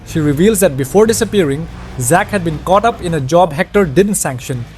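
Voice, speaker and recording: A man's voice: fairly high pitch, lively intonation, fairly quick, darkish tone, faint music behind. A man reading scripted narration that recaps a video game's story.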